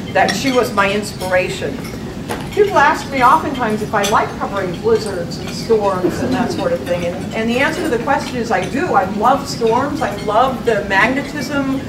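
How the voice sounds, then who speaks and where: Brief: jokey woman reporter, lively and higher-pitched, heard from a distance in a big echoing room. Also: background noise. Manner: chatty, self-deprecating, jokey. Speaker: TV news reporter giving a talk. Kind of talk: casual trivia talk to an audience. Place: museum hall with audience. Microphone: handheld microphone. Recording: echoey hall, mic at a distance.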